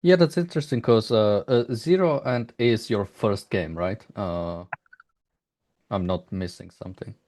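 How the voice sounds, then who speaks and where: Deep-voiced man, halting interviewer. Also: a little mellow, a little hiss or hum. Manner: halting, rambling questions with many hesitations. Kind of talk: casual interview.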